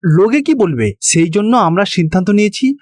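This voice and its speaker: Casting: older Bengali family patriarch for cartoon, male performer, high for a man, lively intonation, reasonable, gently chiding. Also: brisk, no room sound, clean studio sound. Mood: reasoning. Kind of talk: moral-story cartoon voice acting.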